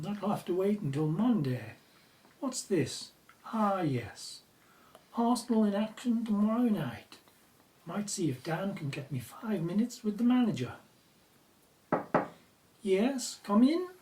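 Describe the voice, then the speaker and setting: A breezy, easily bored British Prime Minister in an audio drama. The voice is mellow and very animated, chatty and self-absorbed, with a British accent. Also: female voice, medium pitch.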